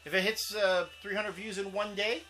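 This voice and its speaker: A man's voice: high-pitched, little pitch movement, moderate pace, sharp tone, soft background music, low-quality recording. A man, co-hosting a casual comics chat show, rambling with plenty of fillers. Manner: rambling, laughs often, many fillers. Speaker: co-host.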